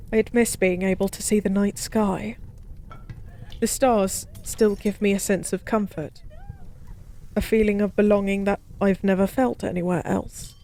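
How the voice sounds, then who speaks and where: A woman voicing a lonely, drunk fallen goddess in a soft-spoken audio roleplay, musing and rambling with weary melancholy. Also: mid-range voice, a little hiss or hum. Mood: wistful, confiding.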